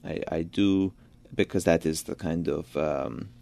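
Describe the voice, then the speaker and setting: A man's voice: deep voice, fairly even intonation, unhurried, creaky, dark tone, no room sound. A young man from Georgia whose first language is Georgian, answering interview questions thoughtfully and often restarting his sentences. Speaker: interviewed pianist and composer. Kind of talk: radio interview.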